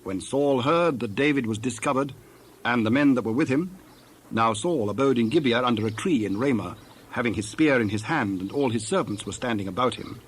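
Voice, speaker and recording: A man's voice: mid-range voice, expressive, brisk, a little hiss or hum. A man narrating a dramatized reading of scripture from a script.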